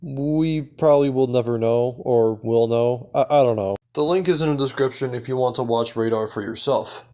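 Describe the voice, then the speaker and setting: A man's voice: mid-range voice, moderate pace, few pauses, dark tone. A man narrating a recap and review in a casual, semi-scripted style, as the presenter of a video.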